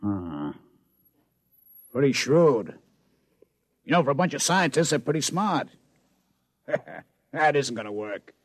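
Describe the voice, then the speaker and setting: A man's voice: mid-range voice, very animated, unhurried, pauses often, no echo. An actor playing a brash, wisecracking male casino boss in a 1950s radio drama. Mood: gruff. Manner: brash, wisecracking, laughs a lot. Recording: dull old radio broadcast.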